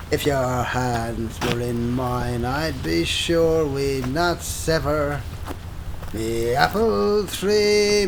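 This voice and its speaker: Radio play role: a drunken old Irish rogue, grizzled and slow, voice pitched fairly high, faint noise behind. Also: male voice, gravelly, exaggerated voice. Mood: drunken singing. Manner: boastful, theatrical, drunken. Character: old drunken Irish braggart farmer, desperate and wheedling.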